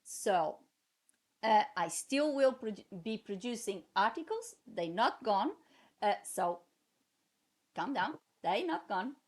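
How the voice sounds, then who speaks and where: A woman with a Portuguese accent, a native Portuguese speaker, talking to her viewers in a casual vlog, halting with many fillers but passionate. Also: mid-range voice, very animated. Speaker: translator and vlogger.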